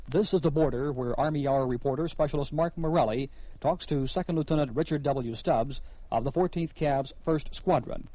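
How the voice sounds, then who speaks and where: An American man narrating a scripted military radio program in a measured, formal announcer style. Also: medium pitch, no room sound. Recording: dull lo-fi vintage radio broadcast. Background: music.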